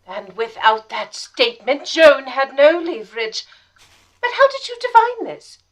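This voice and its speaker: A woman playing an eager, curious and devoted detective's assistant in a radio play, excitable and inquisitive. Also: high-pitched, unhurried, low-quality recording.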